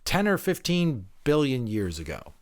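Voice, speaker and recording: A man acts out an educated male student in an audiobook novel, unsure and a little defensive. He gropes for words and thinks aloud, his pitch rising and falling in a lively, halting way. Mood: uncertain, groping. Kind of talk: dramatic audiobook character acting.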